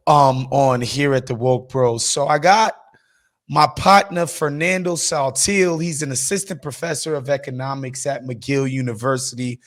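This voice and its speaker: A man hosting a podcast in a casual, slangy, conversational way. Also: a little high. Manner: informal, slangy, some swearing. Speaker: podcast host.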